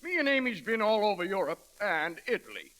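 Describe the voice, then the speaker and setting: A high-voiced, hearty American westerner. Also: male voice, big pitch swings, a little fast, sharp tone, exaggerated voice. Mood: breezy. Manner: slangy, whooping, breezy. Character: rowdy, hearty American West old pal. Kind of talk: 1930s radio comedy acting.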